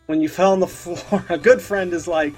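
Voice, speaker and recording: Man, high voice, channel host, casual reaction commentary, giggly, informal, faint background music. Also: fairly even intonation, normal speed, a little mellow, rough recording. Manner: giggly, often laughing as he talks. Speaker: host of a meme review channel.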